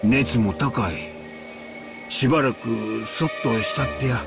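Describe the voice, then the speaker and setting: A man's voice: medium pitch, moderate pace, gravelly, loud music behind the voice, lightly acted. An actor playing a jovial middle-aged man with a Kansai accent, talking in a hearty, joking way. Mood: gentle. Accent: Kansai Japanese.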